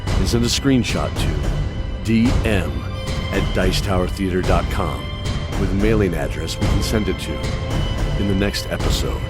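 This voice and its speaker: A man reading a scripted podcast outro as an announcer, with a formal delivery. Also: on the low side. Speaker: narrator and announcer reading credits.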